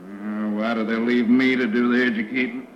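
An actor playing a folksy, commanding train conductor who enforces segregation in a 1940s radio drama. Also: male voice, medium pitch, little pitch movement, normal speed, natural voice. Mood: gruff, impatient. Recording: echoey, muffled, noisy old radio recording.

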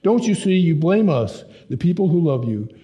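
Earnest young German man, read by a male narrator, German accent, impassioned formal English, mellow tone. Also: mid-range voice, moderate pace, highs cut off, close to an everyday voice. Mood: angry.

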